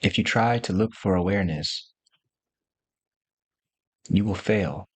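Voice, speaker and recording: A man leading a guided meditation, giving gentle instructions in short, spaced phrases as a teacher. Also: sits low, slow pace, breathy edge, no room sound.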